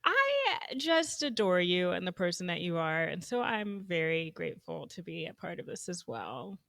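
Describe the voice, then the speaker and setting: Playful woman with a low gravelly voice. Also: normal speed, no echo, studio quality. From Zambia. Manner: expressive and playful, with frequent fillers. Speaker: podcast co-host. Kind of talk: casual chat over a video call.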